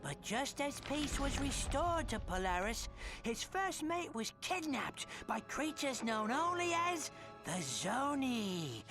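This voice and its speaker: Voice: sits high, heavily acted. An actor playing a theatrical storyteller narrating a hero's tale in a video game.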